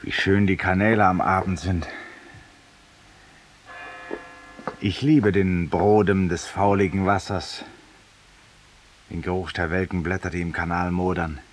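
A man's voice: deep voice, fairly even intonation, normal speed, slight background noise, natural voice. An actor playing a vain, ironic, confessional man in a 1950s radio drama monologue, urbane and theatrical, addressing a silent companion. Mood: ironic, savouring. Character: vain, confessional, ironic male Parisian lawyer in exile. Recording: distant-sounding 1950s radio studio recording.

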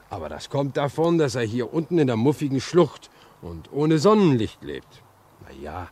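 An actor playing a good-natured, simple railway worker in a 1950s radio drama, folksy and a little hesitant. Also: male voice, lowish voice, mellow, husky. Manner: folksy, hesitant, chuckling asides. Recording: noisy old 1950s radio recording.

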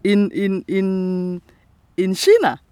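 A woman with a Congolese accent talks slowly and haltingly in a dry, close-sounding recording. Her first language is Teke, and she gropes for words in German, leaning on fillers. She is outdoors by a lake, chatting as the interviewee. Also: medium pitch, sing-song, mellow, no room sound. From Republic of the Congo. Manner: halting non-native German, searching for words with many fillers. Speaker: artist, interviewee. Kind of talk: casual interview chat.

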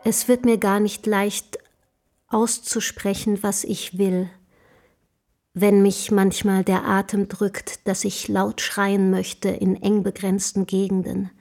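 A passionate young woman of letters in a radio drama. She is bold and provoking, speaking at a medium pitch with pointed, literary phrasing and theatrical fervour. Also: level tone, takes it slowly, a little mellow. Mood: rapturous, yearning. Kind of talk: German radio play acting.

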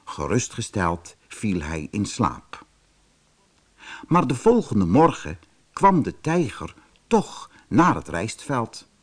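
A man's voice: lowish voice, big pitch swings, slow. Een man die als verteller een sprookje voorleest voor kinderen. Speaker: storyteller. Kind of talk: scripted fairy-tale narration for children.

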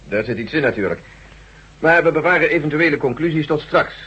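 An actor playing a brisk, authoritative general chairing a tense briefing in a radio drama. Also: male voice, fairly high pitch, animated, pushed and bright, slightly rough, full character voice. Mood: commanding. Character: General Stevens, brisk military commander. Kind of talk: 1970s radio drama acting. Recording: dull lo-fi radio recording.

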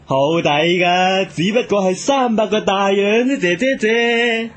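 A pushy peddler from a comic Cantonese opera skit, with a very high voice and a slow, singsong delivery. The Hong Kong Cantonese syllables are theatrically drawn out. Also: male voice, fairly bright tone.

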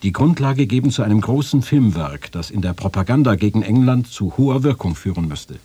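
Actor as a husky, mellow, deferential male letter writer. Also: fairly low pitch, expressive, a little fast, dry recording. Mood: earnest, formal. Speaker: quote reader in a radio feature. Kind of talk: formal reading of historical letters.

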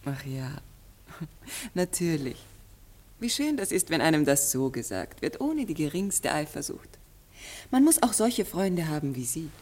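Lively, coquettish woman, swooping intonation. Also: sits low, measured pace, pauses often, heavily acted. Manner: coquettish, theatrical. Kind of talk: classic German radio play acting.

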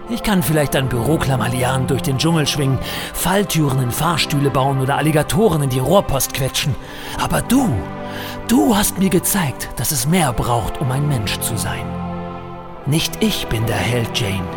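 A man's voice: medium pitch, natural voice. Man acting a pompous, swooping office adventurer over music. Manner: pompous, grandiose declamation. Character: heroic, melodramatic male office adventurer. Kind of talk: German comedic radio play acting. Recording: music behind the voice.

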